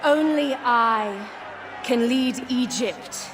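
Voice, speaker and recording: A woman's voice: on the high side, very slow, a little hiss or hum. An actress playing an imperious, triumphant Egyptian queen making a declamatory speech in a video game. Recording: music and crowd behind.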